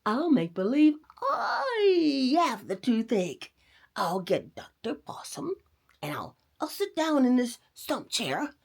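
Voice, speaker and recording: An eager, conspiratorial old rabbit gentleman from a children's storybook , acted out by a woman. The speech swings widely in pitch, slows down for effect and has a soft, husky grain. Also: wildly swooping pitch, full character voice. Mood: scheming. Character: elderly gentleman rabbit, eager, sly and kindly schemer.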